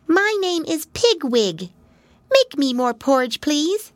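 A woman voicing a sweet, cheerful little female piglet in a storybook, high and chirpy. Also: sing-song, takes it slowly, no room sound, heavily acted. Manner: high, chirpy, sing-song.

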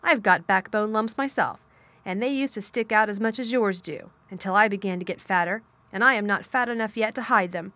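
A woman voicing a hot-tempered, bossy little girl in a storybook, with a sharp, scolding manner. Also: mid-range voice, a little fast, dry recording, close to an everyday voice. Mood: cross, insistent.

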